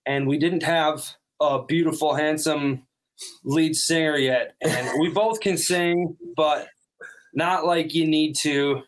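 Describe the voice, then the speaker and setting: A man's voice: on the high side, steady tone, slow, low-quality recording. A young man from North Dakota, a band member, telling his story in an outgoing, upbeat way. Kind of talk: casual interview answers.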